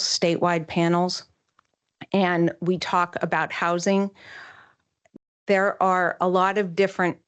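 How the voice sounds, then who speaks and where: A middle-aged woman giving a prepared public comment to a city council, measured and citing figures earnestly. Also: lowish voice.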